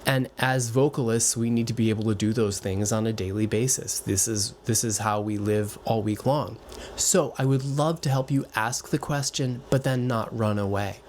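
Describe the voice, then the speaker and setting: A male voice teacher talks directly to his viewers, giving warm-hearted, encouraging advice. He speaks in a relaxed, conversational way, sometimes restarting a phrase, with his pitch sitting squarely in the middle for a man. A faint hiss sits under the recording. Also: normal speed. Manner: gentle, reassuring, encouraging. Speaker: voice and piano teacher. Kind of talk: direct-to-camera advice talk.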